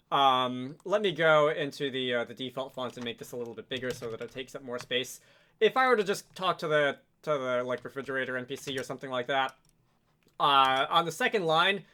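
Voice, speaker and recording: A man with a fairly high, bright voice walks through a software tutorial. He explains things conversationally, step by step, with casual asides along the way. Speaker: tutorial host, game programming instructor.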